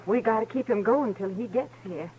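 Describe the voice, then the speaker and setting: A woman's voice: fairly high pitch, a little mellow, close to an everyday voice. Woman playing a plain-spoken, resolute frontier mother. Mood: worried. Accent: rural American. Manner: earnest, folksy, halting. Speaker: radio actor. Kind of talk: old-time radio western acting. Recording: noisy vintage radio recording with hum.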